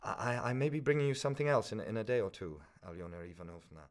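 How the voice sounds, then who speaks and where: A man's voice: fairly low pitch, lively intonation, voice pushed up, lightly acted. A man doing a stammering, desperate young student. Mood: nervous, pleading. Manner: stammering, hurried. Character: poor, nervous young male student, desperate.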